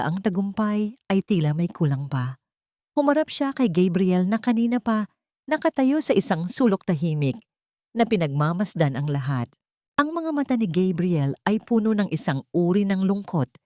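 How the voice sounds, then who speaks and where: Low-pitched Filipino woman narrating drama. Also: a little mellow, no echo, clean studio sound. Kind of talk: scripted dramatic story narration.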